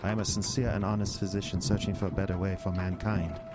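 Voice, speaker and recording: An actor playing a sinister, self-justifying physician in a radio drama, with a foreign accent and a measured, formal, deliberate delivery. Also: male voice, deep voice, little pitch movement, lightly acted. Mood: coolly taunting. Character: sinister, coolly self-justifying male physician haunting his files. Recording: loud music bed under speech.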